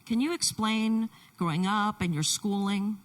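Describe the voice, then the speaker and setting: A woman pastor introducing and interviewing a guest at a church service, speaking in short, deliberate phrases. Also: medium pitch, distant mic, rough recording. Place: church sanctuary.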